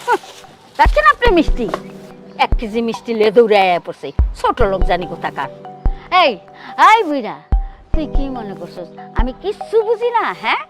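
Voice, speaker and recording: A woman's voice: on the high side, wildly swooping pitch, fairly quick, pauses often, over music. A woman playing a middle-aged, spiteful and greedy mother-in-law in a TV drama, scolding scornfully with a rural Bangladeshi accent. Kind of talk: melodramatic Bangla TV drama acting.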